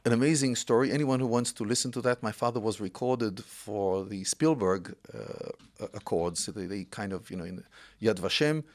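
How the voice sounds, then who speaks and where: A man in his sixties from Israel, a podcast guest telling his family history in an unhurried way with many pauses. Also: lowish voice. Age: 60s. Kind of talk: casual interview chat.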